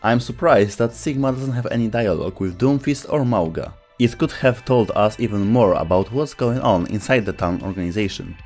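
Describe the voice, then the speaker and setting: A man's voice: on the low side, a little fast, few pauses, darkish tone. Man narrating a scripted explainer over music. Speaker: video narrator.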